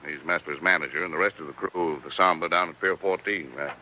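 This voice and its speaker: An actor playing a serious, plain-spoken intelligence chief in an old radio drama, grave and businesslike. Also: male voice, low voice, steady tone, quick pace, a little hiss or hum, lightly acted. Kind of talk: 1940s radio drama acting. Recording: dull old radio recording.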